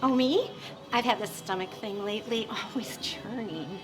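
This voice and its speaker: An actress playing a chatty, tactless small-town gossip in a video game, gushing and rambling. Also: female voice, medium pitch, normal speed, music in the background, natural voice. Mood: gushing, gossipy.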